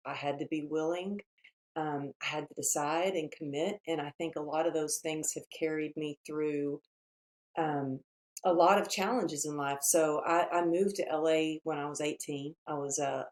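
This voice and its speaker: A woman from Texas with a Texan accent, telling her story as a guest in an earnest way with thoughtful pauses. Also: low-pitched, darkish tone. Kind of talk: storytelling interview.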